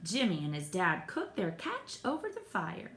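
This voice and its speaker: A woman reading a children's picture book aloud expressively, pausing to explain the pictures to her listeners. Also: fairly low pitch, wildly swooping pitch, gravelly, a bit bright, slight background noise. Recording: echoey room.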